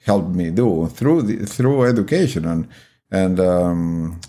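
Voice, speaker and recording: An older man with an Argentine Spanish accent speaks in a very deep, warm, mellow voice. He tells his story in a halting, thoughtful way, full of uhs and restarts. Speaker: podcast guest. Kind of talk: life story interview.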